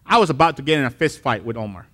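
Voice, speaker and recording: A man's voice: medium pitch, sing-song, very fast, a bit bright. A man in his thirties from Colombia, a pastor preaching a sermon on a church stage, telling a story animatedly with acted-out voices. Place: church stage with wood wall.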